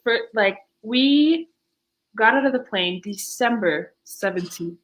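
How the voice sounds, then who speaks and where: A woman's voice: fairly high pitch, animated, slow, fairly bright tone, poor audio. A young woman from Fiji, a podcast guest, telling her story casually and laughing often. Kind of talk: casual interview chat.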